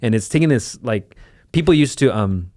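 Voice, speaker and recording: A man's voice: mid-range voice, expressive, moderate pace, darkish tone, no room sound, studio quality. A man co-hosting a podcast, talking casually and explaining things in a rambling way through examples. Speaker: podcast co-host. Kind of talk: casual conversational discussion.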